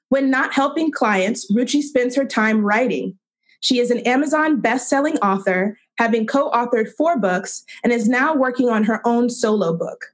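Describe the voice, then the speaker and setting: A woman hosting a storytelling event, formally reading out a speaker's introduction and list of credentials. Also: fairly high pitch. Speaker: event host.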